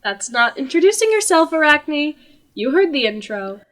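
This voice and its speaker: A sardonic god played by a woman hosts a talk show in a comic audio drama. The voice sits fairly high and swings widely in pitch, teasing and theatrical, with sarcasm throughout. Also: big pitch swings, normal speed, a bit bright. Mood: mock showbiz cheer.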